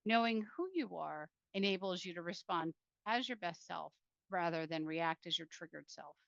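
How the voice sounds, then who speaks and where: A woman author presenting and reading from her self-help book in an earnest, deliberate way, speaking directly to the listener. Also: on the low side, vocal fry, no room sound, dull audio.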